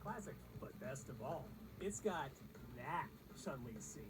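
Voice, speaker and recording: A woman's voice: rumbling low, sing-song, unhurried, over music. A woman reading scripted comedic countdown narration in a sarcastic, snarky manner.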